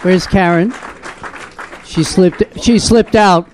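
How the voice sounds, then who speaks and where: A man's voice: sits high, takes it slowly, some chatter behind. A man hosting a live onstage panel, chatty and teasing, laughing with his guests. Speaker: host moderating a live panel. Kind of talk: lively onstage Q&A, joking. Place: live theater event.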